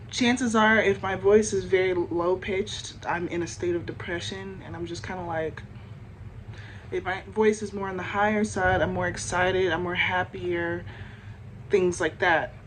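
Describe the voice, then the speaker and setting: A young woman with a deeper voice for a woman, chatting candidly into her phone camera with lots of fillers. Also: steady tone, a little slow, a little hiss or hum, low-quality recording. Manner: chatty, candid, many fillers like "like" and "you know". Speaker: vlogger. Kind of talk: casual talk to camera.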